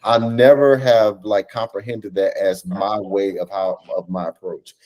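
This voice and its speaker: Low-voiced man, earnest and gentle. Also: little pitch movement, unhurried, poor audio. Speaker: stage play director. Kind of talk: reflective panel interview talk. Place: indoors, on a video call. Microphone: video call.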